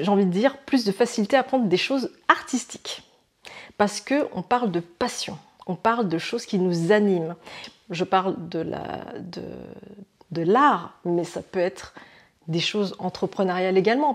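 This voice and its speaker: A French woman talks casually to her viewers about the month ahead, at a mid-range pitch. She often pauses on fillers and restarts her sentences, then reaches for lively images to make her point. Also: breathy edge. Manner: hesitant, many fillers and restarts, expressive images. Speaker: astrology channel host. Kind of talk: casual explanatory monologue to camera.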